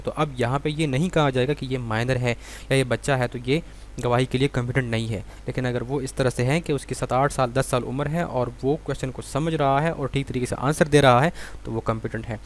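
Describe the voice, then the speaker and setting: A man's voice: medium pitch, a little hiss or hum. A Pakistani man giving an explanatory law lecture as a tutor, reading out questions and then explaining them didactically, repeating and rephrasing his points.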